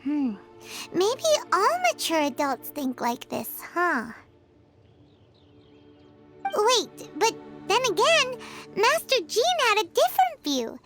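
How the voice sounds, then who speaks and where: A woman's voice: very high-pitched, sing-song, over music, full character voice. A woman voicing a tiny, chatty floating fairy companion with a chirpy, curious way of talking in a game cutscene. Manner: chirpy, quick reactions, curious questions.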